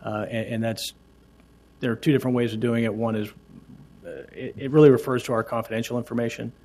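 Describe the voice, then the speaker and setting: A man's voice: medium pitch, level tone, a little mellow. A man, a city official, explaining a records system to a council with many fillers and restarts. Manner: halting, hesitant explanations. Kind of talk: answering council questions.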